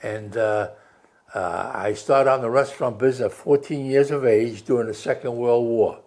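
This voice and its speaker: A man's voice: mid-range voice, normal speed, a little mellow. Chatty ninety-year-old man. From New York City. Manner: storytelling, chatty, wry. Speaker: veteran interviewee. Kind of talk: oral history interview.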